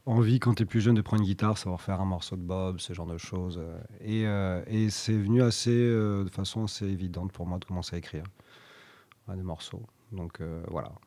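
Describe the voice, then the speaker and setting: Low, mellow-voiced French man musician. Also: fairly quick, no room sound. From France. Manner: relaxed, rambling with many fillers. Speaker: musician guest. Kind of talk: casual interview answers.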